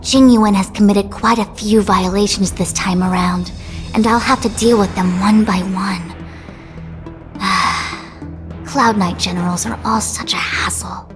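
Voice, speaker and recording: An actress playing a composed, dutiful woman diviner in a video game, speaking formally and with measured articulation. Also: medium pitch, fairly even intonation, music in the background, close to an everyday voice. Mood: weary. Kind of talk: scripted video game dialogue.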